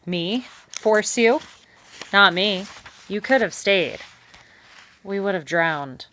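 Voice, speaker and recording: A woman voicing a big male beaver, a hearty, smooth-talking schemer in a read-aloud storybook. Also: expressive, slow pace, sharp tone, slight background noise. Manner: jovial, booming, salesman-like.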